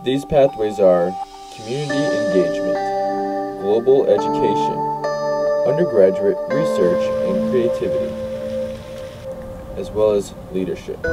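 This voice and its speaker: A man reading scripted promotional narration in a smooth, measured way. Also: lowish voice, lively intonation, over loud music.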